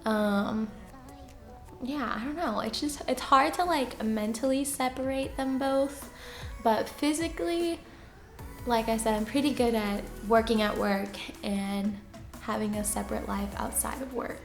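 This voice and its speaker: A young woman talks in a soft, fairly high voice with a gravelly edge. She chats easily, filling pauses and laughing often. The room is echoey, with faint music behind her. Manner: chatty, laughs often, lots of fillers. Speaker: teacher answering viewer questions. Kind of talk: casual Q&A chat.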